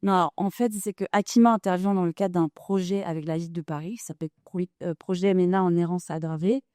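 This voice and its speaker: A woman from a charity speaks on a panel, explaining in a measured way with the odd filler. Her voice is mellow and smooth, recorded dry. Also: fairly low pitch, fairly even intonation, no echo. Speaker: financial manager of a charity. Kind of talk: panel discussion.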